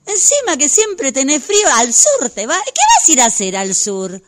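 A woman's voice: high voice, wildly swooping pitch, normal speed. A woman playing a sarcastic, sceptical, impatient friend in a radio drama, with an Argentine accent and a dismissive, mocking manner. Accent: Argentine Rioplatense. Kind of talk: radio drama workshop phone dialogue.

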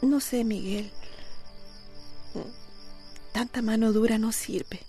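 An actress playing an anxious, soft-hearted mother with a Costa Rican accent in a radio drama. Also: fairly high pitch, steady tone, takes it slowly, faint music behind. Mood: anxious, pleading.

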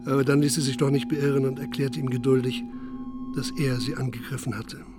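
A man narrating a literary radio drama in a sober, measured manner, with music behind him. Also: mid-range voice, little pitch movement.

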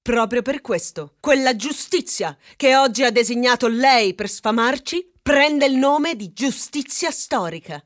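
A woman's voice: fairly high pitch, animated, measured pace, hardly any pauses. A woman playing a bossy male castaway boss in an absurdist stage comedy, declamatory, accusing and sarcastic. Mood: grandiose indignation. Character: bossy male castaway leader, woodcutter's son, pompous cannibal demagogue. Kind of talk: theatrical absurdist comedy acting.

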